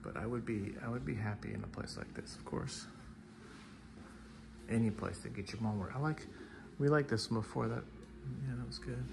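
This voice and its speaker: A man giving low-key, casual commentary as an artist walking through an art gallery, often trailing into murmured asides. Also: moderate pace, creaky, pauses often, noisy background. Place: large indoor art gallery with hard floors.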